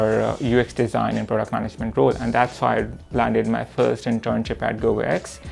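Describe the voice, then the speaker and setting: A young man from Pakistan introducing himself and his work as a product manager, talking in an earnest, measured way with frequent pauses. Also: mid-range voice, vocal fry. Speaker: product manager and course instructor. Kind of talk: reflective self-introduction to camera.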